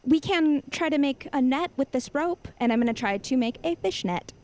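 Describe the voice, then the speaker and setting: A woman playing a tabletop game, bubbly and excitable with hesitant restarts. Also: high voice, normal speed. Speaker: player.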